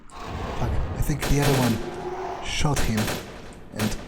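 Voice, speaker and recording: A man's voice: medium pitch, slow, very noisy, close to an everyday voice. A man role-playing a cocky, ruthless vampire who muses unhurriedly and swears as he thinks aloud through a video game. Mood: cocky, amused. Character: cocky, ruthless male vampire, amused by violence. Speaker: gamer role-playing a character. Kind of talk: in-character gameplay commentary, thinking aloud. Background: game music and gunfire.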